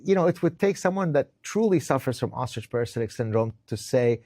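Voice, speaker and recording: A man's voice: mid-range voice, expressive, fairly quick, dark tone, no room sound, studio quality. A middle-aged man from Lebanon, giving emphatic interview answers, often repeating words as he starts and tagging points with "right?". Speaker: interview guest, author. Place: indoors.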